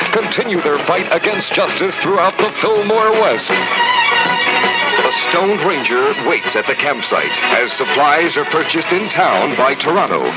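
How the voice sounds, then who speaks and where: A man narrating a radio comedy serial in a booming, mock old-time announcer style. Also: poor audio. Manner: booming, theatrical announcer delivery.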